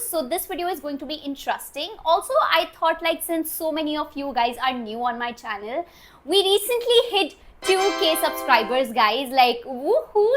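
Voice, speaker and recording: A woman's voice: high voice, a bit bright. A woman in her twenties with an Indian English accent, chatting casually in a sit-down Q&A vlog and often slipping into Hindi. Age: 20s. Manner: chatty, heavy use of "like", switches between English and Hindi. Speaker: YouTuber.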